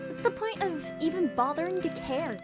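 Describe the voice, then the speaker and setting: A high, girlish voice from a woman acting carries a snarky, self-absorbed schoolgirl from a dating sim. She is sarcastic and foul-mouthed, with a theatrical, nihilistic streak. Prominent music plays behind her. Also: animated, fairly quick. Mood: bleak, intense. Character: snarky, vain, nihilistic schoolgirl, rude and easily exasperated.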